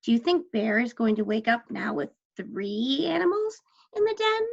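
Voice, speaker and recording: A woman teaching young children, asking questions and explaining words in simple, deliberate terms. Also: on the high side, big pitch swings, vocal fry, dull audio. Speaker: teacher guiding young children through a story.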